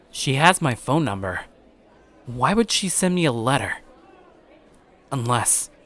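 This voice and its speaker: An actor playing an anxious, earnest teenage schoolboy who narrates an anime-style story in a conversational, introspective way. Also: male voice, mid-range voice, fairly bright tone, natural voice. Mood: puzzled. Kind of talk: anime-style audio story, first-person scripted narration.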